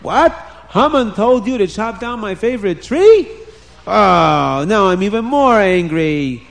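Man playing a loud, indignant storybook king. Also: a little high, moderate pace, natural voice. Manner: exclaiming, emphatic, accusing. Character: blustering, indignant, hot-tempered king of a children's tale.

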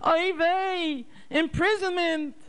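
A man voicing an elderly mother who pleads and wails in fright and grief, crying out in a loud, high voice. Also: very animated, measured pace, smooth, full character voice. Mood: wailing. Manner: wailing high cries.

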